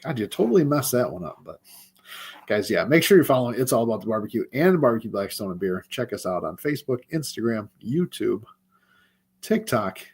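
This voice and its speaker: A man with a husky voice co-hosting a casual barbecue live stream, playful and teasing in his banter and plugs. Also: medium pitch, very animated, fairly quick, darkish tone. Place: video call on a live stream.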